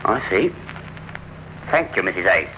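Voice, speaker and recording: An actor playing a suspicious, determined gentleman, formal and probing, in a 1950s radio crime drama. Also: sits low, big pitch swings, unhurried. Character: suspicious, determined gentleman investigating a friend's disappearance. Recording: dull, noisy old radio recording.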